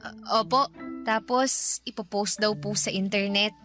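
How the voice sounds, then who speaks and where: A woman's voice: medium pitch, fairly even intonation, unhurried, bright, forceful tone, faint music behind. A woman playing a respectful, earnest young granddaughter in a radio drama, polite and a little hesitant, with a Filipino accent. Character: respectful eighteen-year-old granddaughter, earnest, hopeful, slightly hesitant.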